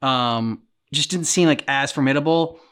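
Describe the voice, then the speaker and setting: A man's voice: mid-range voice, moderate pace, fairly bright tone, smooth. A man co-hosting a reaction channel, talking casually in a tentative, rambling way.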